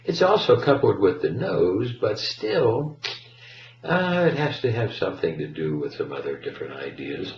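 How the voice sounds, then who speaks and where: Guy lecturing, kinda far from the mic and roomy, very up and down pitch, emphatic declarations. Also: very animated, moderate pace. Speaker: presenter. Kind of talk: lecturing commentary. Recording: echoey, distant, dull with faint noise.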